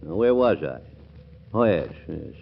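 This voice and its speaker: An actor playing a crotchety, absent-minded old judge in a 1940s radio comedy, testy and muttering, on a muffled, noisy recording. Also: male voice, deep voice, sing-song, measured pace, vocal fry, heavily acted. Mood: muttering, musing. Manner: testy, muttering, stumbling over long words.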